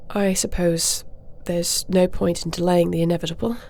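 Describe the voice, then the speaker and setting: A woman playing a dignified, dutiful young warrior princess in a fantasy audio roleplay, speaking formally and with measured, stately diction. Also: fairly low pitch, steady tone, creaky, a little mellow. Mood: formal, reserved.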